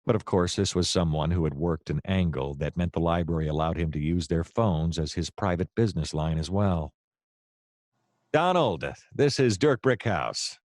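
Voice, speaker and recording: An actor playing a hapless hard-boiled private detective, narrating his case in a wry, deadpan noir radio-play style. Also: deep voice, normal speed, dry recording, clean studio sound, natural voice. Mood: eager, pushy.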